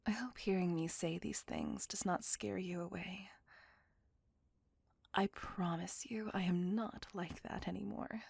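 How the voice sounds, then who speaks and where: A woman voicing a gentle, remorseful immortal vampire in an ASMR roleplay, speaking tenderly and intimately in a breathy whisper. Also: on the low side, fairly even intonation, no echo, full character voice. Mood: remorseful, confessing. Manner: slow, tender, earnest confiding.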